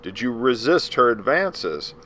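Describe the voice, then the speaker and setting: Man doing a calm courteous lawyer, measured, gentle questioning, audiobook. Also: mid-range voice, a little hiss or hum. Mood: probing.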